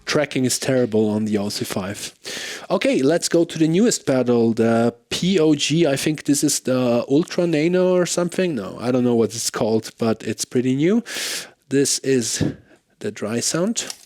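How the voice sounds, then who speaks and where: A man's voice: animated, moderate pace, no echo. A man giving a casual, off-the-cuff gear demo, thinking aloud in an informal way. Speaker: bass gear demonstrator. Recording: loud bass guitar playing alongside. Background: electric bass through effects pedals.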